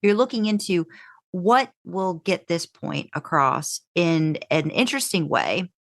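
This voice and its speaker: Low-voiced woman podcast host, dry close sound. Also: lively intonation, measured pace, vocal fry, no room sound. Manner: chatty, circling and rephrasing questions. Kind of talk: casual conversational interviewing.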